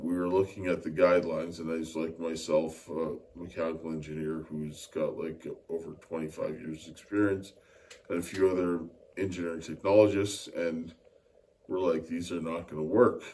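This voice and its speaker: A man's voice: deep voice, dark tone. A middle-aged man from Winnipeg, explaining technical work as an interview guest with many hesitant fillers. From Winnipeg, Canada. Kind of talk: interview answers. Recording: distant mic with faint ambient noise.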